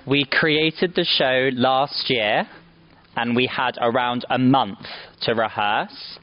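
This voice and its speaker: A man, a stage actor, answering audience questions in measured, plain words. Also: medium pitch, no echo. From London, England. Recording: dull lo-fi sound.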